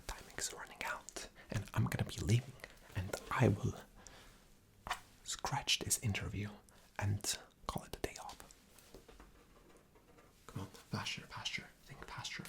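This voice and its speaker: A man plays a rude, arrogant male boss in an ASMR interview roleplay. His voice is low and mostly whispered, with a gravelly creak. He comes across as sarcastic, impatient and demanding. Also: steady tone, unhurried, pauses often. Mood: impatient, scornful.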